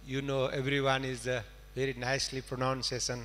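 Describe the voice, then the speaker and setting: A man from Nepal, a non-native English speaker and Buddhist monk, giving a Dhamma talk in halting short phrases of simple English. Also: mid-range voice, monotone, a bit bright. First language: not English. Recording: reverberant hall, electrical hum.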